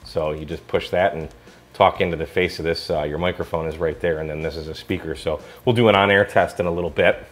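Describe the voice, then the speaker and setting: Male American product reviewer, low-pitched, enthusiastic and colloquial, casual hands-on demo delivery. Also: fairly even intonation, quick pace, a bit bright.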